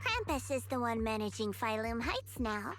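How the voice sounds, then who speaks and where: Smug anime game auditor: high, very animated, sharp-tongued and theatrical, clear music underneath. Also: female voice. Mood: smug, teasing. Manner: theatrical, condescending, drawn-out emphasis. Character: cocky, teasing female authority officer, sharp-tongued auditor.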